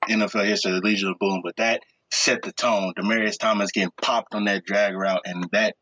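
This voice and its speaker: A man co-hosting a casual sports podcast, joking around in a playful, quick-to-laugh way. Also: on the low side, level tone, bright, forceful tone, husky, no room sound, muffled recording. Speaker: podcast co-host.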